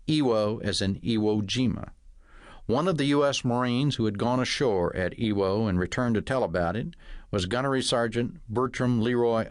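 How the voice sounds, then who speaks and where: Low-voiced man narrating a comic novel. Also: no echo, studio quality. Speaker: audiobook narrator reading own comic novel. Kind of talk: scripted first-person narration.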